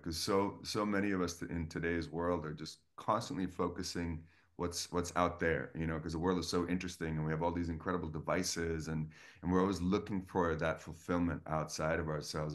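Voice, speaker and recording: A man from Estonia, a guest coach, talking earnestly and effusively in a casual interview over a video call. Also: a bass voice. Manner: earnest, effusive, many restarts.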